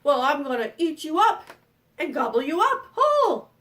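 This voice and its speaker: A woman voicing a mean, hungry bridge troll in a storybook tale, loud, drawn-out and demanding. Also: sits high, big pitch swings. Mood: menacing.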